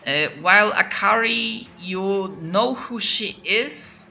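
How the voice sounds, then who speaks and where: A man's voice: sits high, slow pace, gravelly, bright, forceful tone, muffled recording, slight background noise. A man from Poland with a Polish accent, narrating as game master and often stopping to restart his sentences. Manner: hesitant, lots of restarts.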